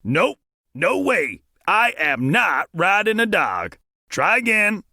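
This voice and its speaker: A man's voice: fairly high pitch, sing-song, unhurried, pushed and bright, no room sound, clean studio sound, voice pushed up, full character voice. A man voicing an exasperated cowboy sheriff. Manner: exasperated, emphatic. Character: bearded male Wild West sheriff, exasperated.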